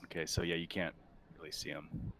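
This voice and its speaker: A man's voice: low voice, flat delivery, brisk, creaky, pushed and bright, a little hiss or hum. A man acting as game master, casually explaining rules and guiding players in an easygoing way. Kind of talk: casual running of a tabletop game.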